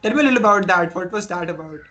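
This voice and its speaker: A podcast host asking interview questions in a casual, halting way, with many fillers. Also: medium pitch, expressive, sharp tone.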